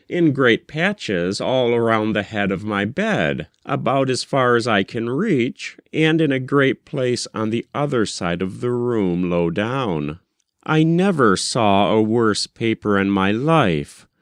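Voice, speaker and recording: A man reading a first-person literary story aloud as an audiobook narrator, with measured, deliberate phrasing. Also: fairly low pitch, clear, resonant tone, dry recording, clean studio sound. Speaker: audiobook reader.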